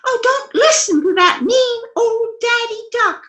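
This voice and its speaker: A woman's voice: a little slow, fairly bright tone, reverberant. A woman playing a sweet, ditzy, cheerful wife who coos innocently, in an old-time radio comedy style. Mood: cooing, soothing. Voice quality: very high, girlish. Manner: cooing, innocent.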